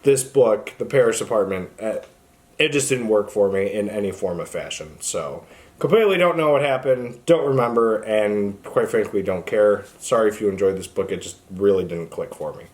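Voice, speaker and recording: A man's voice: lowish voice, lively intonation, moderate pace, a little mellow. A man hosting a casual book vlog, talking off the cuff to viewers in an informal, opinionated way peppered with filler words. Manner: informal, opinionated, frequent "like" and "honestly". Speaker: book reviewer, video host.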